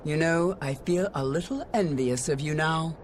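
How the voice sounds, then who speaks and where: A woman playing a proud, sardonic swordswoman in a video game, with a cool, mocking and deliberate delivery. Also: deep voice, noisy background, lightly acted. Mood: wry, challenging. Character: haughty, sardonic female swordswoman, proud and wary. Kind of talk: dramatic video game character acting.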